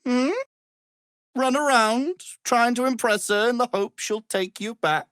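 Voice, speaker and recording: A man's voice: very high voice, measured pace, fairly bright tone, clean tone. A man playing a haughty, scheming wizard in a fantasy video game, with a pompous, theatrical, condescending manner. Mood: mocking. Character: haughty, scheming adult male wizard, vain and menacing.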